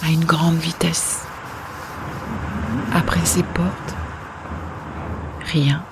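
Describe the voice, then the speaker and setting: An actress playing a tender, resigned dying woman in an audio drama, speaking in a hushed, often whispering way as she drifts away. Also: sits low, flat delivery, very noisy, full character voice. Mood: dreamy, detached. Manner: slow, hushed, partly whispered. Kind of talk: intimate audio-fiction narration and dialogue.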